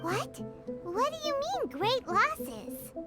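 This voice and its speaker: A small fairy sidekick from a video game. The voice is squeaky, childlike and extremely high, swinging freely up and down. The manner is cheeky, chatty and excitable, over prominent background music. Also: female voice, slow pace, full character voice. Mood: surprised. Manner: exclamatory, playful, cheeky. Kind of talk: video game character acting.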